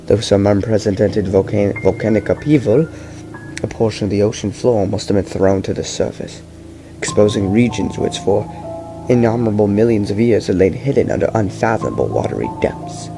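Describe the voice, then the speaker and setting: A young man reading a horror story aloud in a slow, measured first-person voice, stumbling now and then over long words. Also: on the low side, poor audio. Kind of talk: amateur audiobook reading. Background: loud background music.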